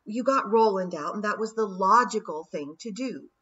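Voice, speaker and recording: A woman's voice: mid-range voice, animated, moderate pace, fairly bright tone, breathy edge, far from the mic, lo-fi sound. A woman doing a girl's urgent, insistent inner voice. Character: young girl's urgent inner voice, sharp and practical.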